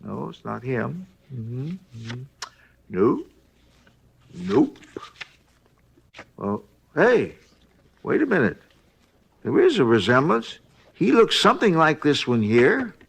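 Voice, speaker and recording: An actor playing a chatty, folksy hotel night clerk, rambling and hesitant, in a 1950s radio drama. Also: male voice, mid-range voice, wildly swooping pitch, slow, vocal fry, pauses often, breathy edge, exaggerated voice. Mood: musing, helpful.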